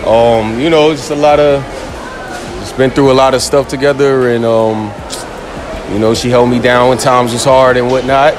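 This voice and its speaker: Young male interviewee, average pitch, easygoing filler-heavy talk, music bed. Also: steady tone, normal speed, crowd noise. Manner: laid-back, full of "you know". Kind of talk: casual answers. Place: indoor shopping mall. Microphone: interviewer's handheld phone.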